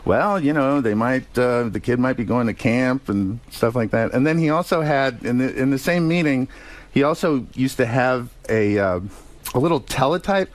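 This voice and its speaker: A man telling casual anecdotes as an interview guest, hesitant and halting, with many ums and self-corrections. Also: medium pitch, lively intonation. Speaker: radio show guest, TV comedy writer-producer.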